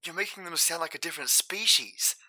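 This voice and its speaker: A man's voice: fairly high pitch, animated, fairly quick, sharp tone, no room sound, low-quality recording. A man hosting a podcast, making wry, cheeky interjections. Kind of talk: joking interjections over an old advertisement.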